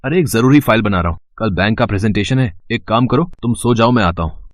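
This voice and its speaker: A man playing an eager, easily flustered young husband with an expressive, exclaiming manner. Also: lowish voice, quick pace, dry recording, clean studio sound, natural voice. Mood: busy.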